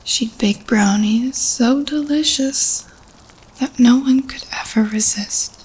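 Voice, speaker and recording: A cute young lifeguard girl, voiced by a woman, tells a sinister campfire story in an audio roleplay. The voice is extremely breathy and soft, unhurried and high, with an ominous, measured delivery. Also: full character voice, low-quality recording. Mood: ominous, hushed. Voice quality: soft, whispery.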